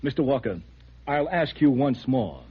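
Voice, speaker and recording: An actor playing a stern, skeptical military major in a 1950s radio drama. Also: male voice, medium pitch, sing-song, normal speed, husky. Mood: incredulous. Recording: muffled 1950s radio recording.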